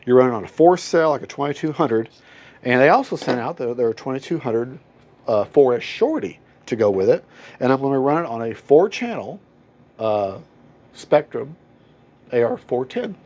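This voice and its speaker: A man with a drawl, sounding nasal as he gets over a cold. He talks in a loose, folksy way, restarting his sentences and wandering off on side notes while he reviews a hobby plane from his home workshop. His voice sits in an ordinary middle pitch. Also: animated, normal speed, darkish tone, slight background noise. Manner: rambling, self-correcting, folksy. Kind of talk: casual unboxing review.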